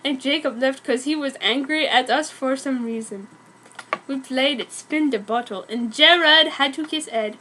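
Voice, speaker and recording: Female story reader, high voice, theatrical narration of amateur fiction with mock-serious delivery. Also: measured pace, bright, forceful tone, a little hiss or hum.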